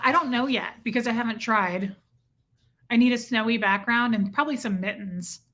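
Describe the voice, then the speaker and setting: A woman's voice: a little high, bright, forceful tone. A woman hosting a casual livestream from home, chatting and reacting to viewer comments. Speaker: livestream host reading chat. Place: home room with a heater.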